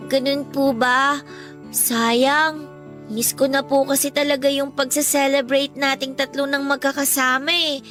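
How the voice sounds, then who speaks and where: A sweet eleven-year-old girl in a radio drama, speaking high and brightly. She is polite and affectionate, with a pleading, childlike manner. Soft music plays behind her. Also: flat delivery, measured pace. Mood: wistful, pleading. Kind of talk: Filipino radio drama acting.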